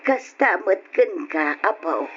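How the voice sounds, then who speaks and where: An actress playing a caring, solemn old grandmother with an Ilocano accent, gently admonishing in old radio drama style. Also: fairly low pitch, lively intonation, takes it slowly, over music. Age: older. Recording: noisy lo-fi radio drama recording.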